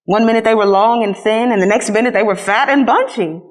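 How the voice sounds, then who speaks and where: A woman reading a children's story aloud as a scripted narration, in an expressive storytelling manner. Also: fairly high pitch, fairly quick. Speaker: storybook reader.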